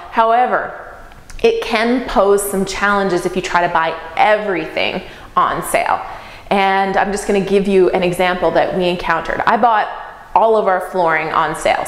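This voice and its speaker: Upbeat woman in her 30s vlogging from an empty bedroom, voice bouncing off bare walls. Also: mid-range voice. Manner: upbeat, chatty, practical. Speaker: real estate investor vlogger. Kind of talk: casual talk to camera. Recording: echoey empty room.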